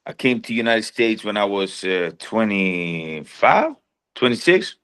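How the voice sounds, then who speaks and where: A man's voice: lowish voice, very animated, unhurried, fairly bright tone, clean tone. A man, a boxer talking in a laid-back, slangy way as a podcast guest. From Sweden. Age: 30s. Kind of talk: casual chat.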